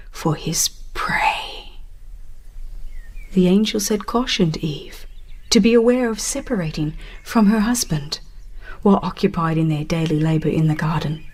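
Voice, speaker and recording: A woman narrates a religious audiobook in a solemn, dramatized style. Her delivery is slow and deliberate, with expressive phrasing. Light music plays behind her. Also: fairly low pitch.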